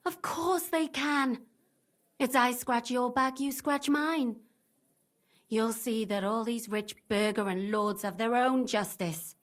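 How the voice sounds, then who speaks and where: A woman's voice: on the high side, slow. An actress playing a blunt, cynical, tough medieval tavern woman in a video game. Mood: scornful, defiant. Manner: blunt, forthright. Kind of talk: video game voice acting, dramatic dialogue.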